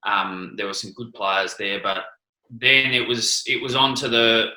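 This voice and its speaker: A man's voice: lowish voice, level tone, measured pace, sharp tone, reverberant, rough recording. A man with an Australian accent answering interview questions, hesitant, with many restarts and ums. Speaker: interview guest, former cricketer.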